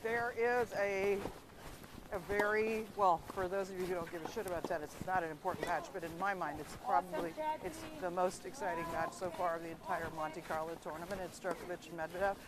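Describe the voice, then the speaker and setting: A woman's voice: fairly low pitch, steady tone, fairly quick. A woman vlogging casually to her followers in a candid, opinionated, emphatic way, on a noisy handheld recording. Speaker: vlogger filming social media stories. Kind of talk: casual chatty phone vlog narration. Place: on the go, around a tennis centre.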